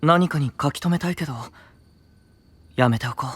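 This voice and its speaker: Gentle, soft-spoken young knight. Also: male voice, on the high side, normal speed, husky, no echo. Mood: soft, thoughtful. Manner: soft, considerate, earnest. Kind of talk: Japanese fantasy voice drama.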